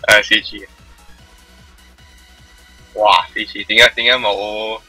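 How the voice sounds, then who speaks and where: A man with a very sharp, forceful voice with some husk in it. He chats casually and jokes with profane asides during a game stream, with faint music behind him. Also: lowish voice, little pitch movement, slow pace, slightly rough, dry recording, rough recording. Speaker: co-streamer voicing characters. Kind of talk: casual chat during a live game playthrough.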